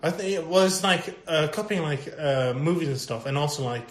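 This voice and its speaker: A man chatting casually on a podcast, joking and lively. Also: on the high side, normal speed. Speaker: podcast co-host. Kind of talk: casual chat. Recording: echoey room.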